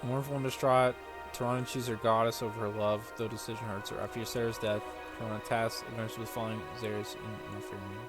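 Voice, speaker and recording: A man's voice: on the low side, little pitch movement, a little fast. A man giving casual, rambling, sweary gameplay commentary, sometimes reading game text aloud. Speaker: gaming streamer playing through a story quest. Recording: loud game music behind. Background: game music.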